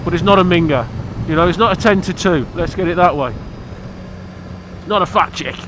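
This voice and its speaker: A man's voice: high-pitched, a little fast, background noise. Bunged-up British man chatting about his bike. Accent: British English. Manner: blunt, cheeky, rambling. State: congested from hay fever. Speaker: motorcycle vlogger. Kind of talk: casual chat to camera. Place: outdoors by his motorbike.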